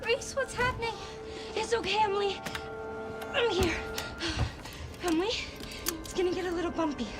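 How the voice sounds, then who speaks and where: Scared young woman in a horror game, very high breathless voice, caring, loud music over her. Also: normal speed, pauses often. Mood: anxious, reassuring. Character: frightened but caring young woman in danger. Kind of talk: cinematic horror game acting.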